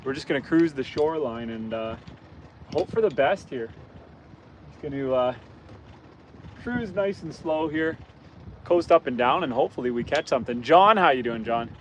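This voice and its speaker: A man with a hoarse, fading voice, chatting easily with livestream viewers while he fishes from a boat on a rainy lake. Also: medium pitch, animated, moderate pace, pauses often, rough recording. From Canada. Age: adult, father of young kids. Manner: easygoing, chatty, greets viewers by name. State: hoarse, losing his voice. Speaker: outdoors channel host. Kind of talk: casual livestream chat while fishing. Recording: windy outdoor stream with boat noise. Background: wind and boat motor.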